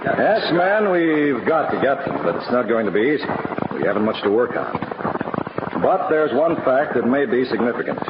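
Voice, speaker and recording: A commanding police captain in an old radio crime drama. He is solemn and declamatory, with a medium-pitched voice on a dull, heavily noisy recording. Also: sing-song, normal speed. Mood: solemn resolve. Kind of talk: 1940s radio crime acting.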